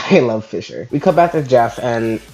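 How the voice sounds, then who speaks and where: A man's voice: medium pitch, lively intonation, moderate pace, gravelly, lo-fi sound, very noisy. A young man narrating a casual scripted episode review as host, informal and lightly swearing.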